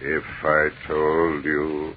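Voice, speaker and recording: An actor playing an ancient, contemptuous, hungry alien mind with a menacing, imperious delivery in a 1930s radio horror drama. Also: male voice, rumbling low, completely flat delivery, drawn-out words, exaggerated voice. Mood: imperious contempt. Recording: tinny, noisy 1930s radio recording.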